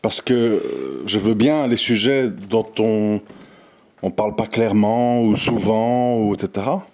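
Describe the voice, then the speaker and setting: A man's voice: lowish voice, lively intonation, measured pace, darkish tone. A man giving an unscripted, earnest audio monologue on religion, insistent and full of restarts and repeated words. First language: not Arabic. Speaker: religious commentator.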